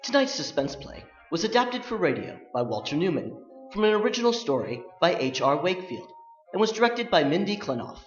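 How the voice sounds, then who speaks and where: Male vintage radio announcer, showy and formal; lively high pitch, echoey stage, faint music. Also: a little fast, lo-fi sound. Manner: formal, showmanlike.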